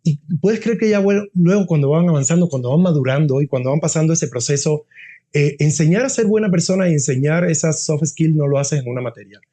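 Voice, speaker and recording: A man's voice: on the high side, a little fast, dark tone. A middle-aged man, a university dean, talking earnestly in a casual interview and often restarting his phrases.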